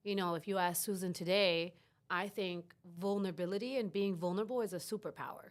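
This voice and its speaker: A woman's voice: lowish voice, fairly quick. A middle-aged woman from Chile speaks as a podcast guest. She talks in a casual, reflective way about her own experiences. Her sentences often break off and restart as she searches for the right words. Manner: reflective, open, with frequent restarts. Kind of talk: casual interview talk.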